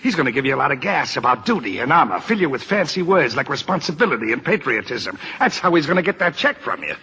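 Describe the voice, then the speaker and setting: An actor playing a slick, cynical, sneering crime boss with a gravelly rasp in an old radio drama. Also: male voice, medium pitch, sing-song, pushed and bright, a little hiss or hum. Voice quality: raspy, gravelly. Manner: sneering, fast-talking tough. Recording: old radio broadcast recording.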